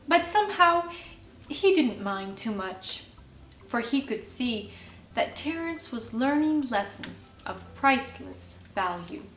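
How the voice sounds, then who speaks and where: A woman reading a children's bedtime story as an expressive narrator. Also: high voice. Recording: roomy sound with faint music behind.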